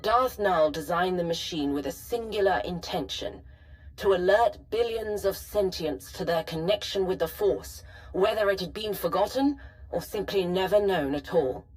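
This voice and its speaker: A woman playing a formal, measured artificial personality stored in an ancient archive, precise and helpful, in a video game. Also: on the low side, natural voice, rough recording. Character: calm, formal female personality matrix of a Sith holocron. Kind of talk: scripted video game voice acting.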